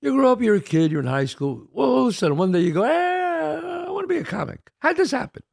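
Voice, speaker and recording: A man's voice: extremely high pitch, big pitch swings, fast, creaky, a little mellow, no room sound, clean studio sound. A man hosting an interview, introducing his guest with enthusiasm and repeating his words. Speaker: host.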